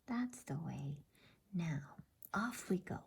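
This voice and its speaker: A woman voicing a kindly male faun from a storybook, coaxing and courteous in a breathy whisper. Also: fairly low pitch, animated, slow pace, exaggerated voice. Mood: courteous, welcoming.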